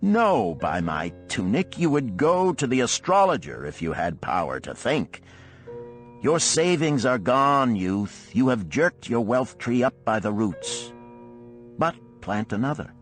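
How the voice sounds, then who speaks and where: A man's voice: medium pitch, very animated, unhurried, soft background music, voice pushed up. Man acting a stern, emphatic old moneylender. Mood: exasperated. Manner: emphatic, lecturing, rhetorical. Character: stern old male moneylender mentor, blunt and scolding. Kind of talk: audiobook parable character dialogue.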